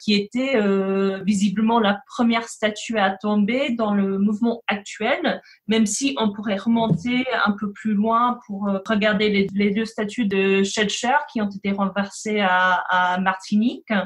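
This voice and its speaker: An American woman, a native English speaker, gives an unscripted expert explanation. Her voice is mid-pitched, and she proceeds haltingly, restarting phrases as she searches for words. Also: steady tone. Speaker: academic historian, guest. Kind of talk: unscripted explanatory interview answer.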